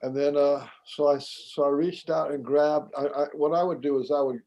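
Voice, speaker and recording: A man chatting casually, speaking with some halting restarts. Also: fairly high pitch, fairly even intonation, warm tone, poor audio. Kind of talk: casual chat.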